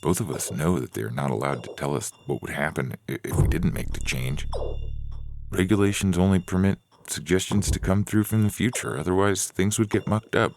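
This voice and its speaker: A man plays a hesitant young fiancé who trusts the rules, in an extremely low, creaky voice. Faint music runs under this dramatic audio story reading. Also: a little fast, darkish tone. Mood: hesitant, reasoning.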